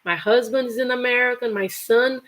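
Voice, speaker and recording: A woman's voice: on the high side, brisk, a bit bright. Chatty woman teaching English. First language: Portuguese. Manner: chatty, repeats example phrases with laughs. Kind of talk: live online English lesson.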